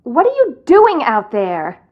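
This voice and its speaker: An actress playing a high-strung, irritable, squeamish woman boss in a video game, commanding and exasperated. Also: huge pitch swings, slow, lo-fi sound, heavily acted. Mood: suspicious.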